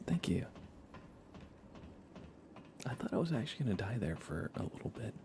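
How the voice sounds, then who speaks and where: Low, gravelly male ASMR game streamer. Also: sing-song, normal speed, noisy background. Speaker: livestream host.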